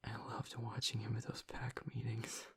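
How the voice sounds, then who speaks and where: A man acts out a young male werewolf opening up in an intimate audio drama, his tough front giving way to grief. His voice is very creaky and breathy, often sinking toward a whisper. He speaks in a narrow, subdued range, hesitating and choked up as he confides. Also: on the low side, monotone, normal speed, heavily acted. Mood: reverent, sorrowful. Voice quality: breathy, gravelly near-whisper. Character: grieving young male werewolf boyfriend, tough but vulnerable. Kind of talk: intimate audio roleplay monologue.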